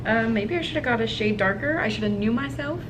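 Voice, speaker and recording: A woman's voice: on the high side, expressive, fast talker. A young American woman from Hawaii chatting casually and informally to camera in her apartment, thinking aloud as she does her makeup. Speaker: video blogger. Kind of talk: casual chat to camera while doing makeup. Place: indoors in an apartment. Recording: clearly noisy home recording.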